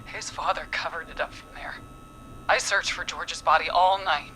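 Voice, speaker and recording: A woman's voice: fairly low pitch, steady tone, normal speed, pushed and bright, a little hiss or hum, poor audio. An actress playing a vengeful, unstable young woman in a video game, dramatic and bitter, often dropping into whispers.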